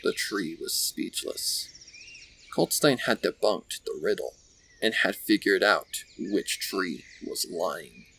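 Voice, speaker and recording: A man's voice: deep voice, expressive, slow, a bit bright, a little hiss or hum. A man reading scripted story narration as the narrator of a dramatic tale.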